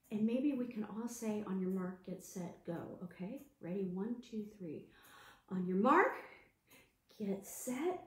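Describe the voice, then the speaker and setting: Animated, high-voiced woman hosting children's storytime. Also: echoey room. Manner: animated, sing-song, addressing small children. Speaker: children's librarian and storytime host. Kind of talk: interactive storytime for young children.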